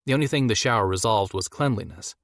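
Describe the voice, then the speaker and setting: A man narrates a novel in a scripted audiobook style. His voice sits on the low side, and the sound is dry with hardly any room to it. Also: animated, fast talker, a bit bright, no room sound.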